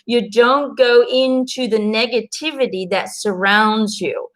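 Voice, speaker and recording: Woman, Belgian accent, native Dutch speaker, slightly high voice, earnest, encouraging, casual card reading, lots of fillers. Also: measured pace. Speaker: intuitive card reader.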